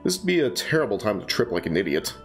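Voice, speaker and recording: Gravelly young man streaming a game. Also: fairly low pitch, sing-song, fast, soft background music. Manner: joking, reads aloud with stumbles and asides. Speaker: streamer reading a visual novel. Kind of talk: casual let's-play narration. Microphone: headset.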